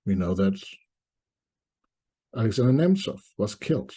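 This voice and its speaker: A middle-aged man from East Germany, giving reflective spoken commentary to viewers in deliberate short phrases with pauses. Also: on the low side, big pitch swings, dark tone, breathy edge. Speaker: commentator presenting a video essay.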